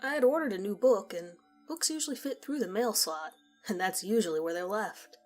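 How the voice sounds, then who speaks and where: A woman narrating a scripted first-person story in a conversational, measured storytelling manner. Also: medium pitch, expressive. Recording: music behind the voice. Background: background music.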